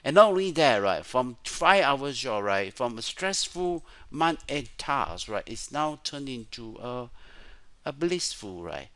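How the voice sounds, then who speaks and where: A man's voice: mid-range voice, big pitch swings, measured pace, fairly bright tone. A man with a Malaysian accent giving a screen-share tutorial as a trainer, often tagging his sentences with "right?". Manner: frequent "right?" tag questions. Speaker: Excel trainer. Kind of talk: screen-share software tutorial with sales pitch. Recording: music playing behind the voice. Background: clear background music.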